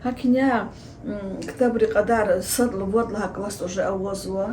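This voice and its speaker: A woman teacher answering interview questions at length, explaining steadily with many pauses and filler sounds. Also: mid-range voice, fairly even intonation, noisy background. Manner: explanatory, hesitant with frequent fillers. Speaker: Lezgian language teacher and researcher.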